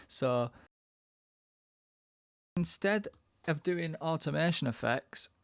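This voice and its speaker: A man giving a casual, informal music software tutorial, thinking aloud as he works. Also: fairly high pitch, expressive, slow, vocal fry, no echo, studio quality.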